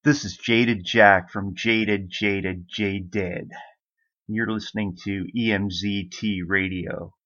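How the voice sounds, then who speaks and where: A man's voice: fairly low pitch, steady tone, slow, fairly bright tone, no room sound. A man giving an ominous station ident in a horror radio style. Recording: dull, lo-fi sound.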